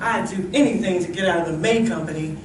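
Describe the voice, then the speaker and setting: A woman's voice: fairly low pitch, fast, close to an everyday voice. A woman playing a fretful young bride in a comic old-time radio Western, plaintive and melodramatic. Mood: worried. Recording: distant, echoey, noisy live stage recording.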